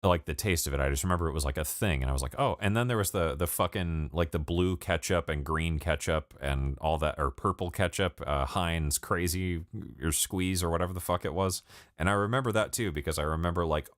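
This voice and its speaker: A man's voice: sits low, brisk, no room sound, clean studio sound. A man in his late twenties chatting casually with viewers on a live stream, rambling, swearing and laughing a lot. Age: 20s. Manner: rambling, profane, laughs often. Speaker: music producer streaming live. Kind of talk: casual livestream chat with viewers.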